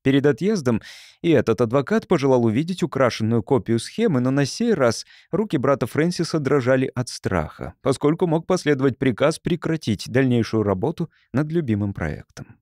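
A man's voice: medium pitch, animated, fairly quick, darkish tone, no room sound, studio quality. A man reading literary prose as a scripted audiobook narrator.

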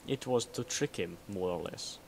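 Male actor as guarded synth spy, game dialogue, measured exposition, low-pitched, faint noise behind. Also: vocal fry. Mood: secretive, matter-of-fact. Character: secretive synth clone of a Soviet spy, guarded. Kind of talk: scripted game mod dialogue. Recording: noticeable background noise.

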